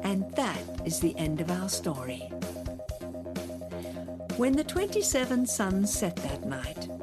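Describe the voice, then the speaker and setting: A woman playing the gentle narrator of a children's space adventure radio story. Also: mid-range voice.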